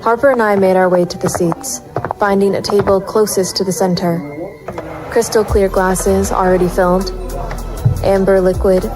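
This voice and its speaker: A hard-boiled female private eye, low-voiced and brooding. She narrates a noir radio play in clipped, sardonic lines, weary and resolute, with music underneath. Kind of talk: noir audio drama narration. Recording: music bed behind the voice.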